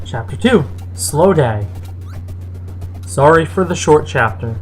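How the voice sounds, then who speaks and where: A woman's voice: sits low, animated, takes it slowly, soft background music. A woman reading a fan fiction story aloud as narrator.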